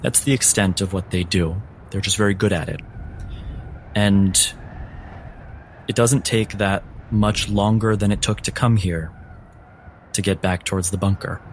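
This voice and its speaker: Slow, low-voiced male game master. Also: steady tone, slight background noise. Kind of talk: measured tabletop game narration.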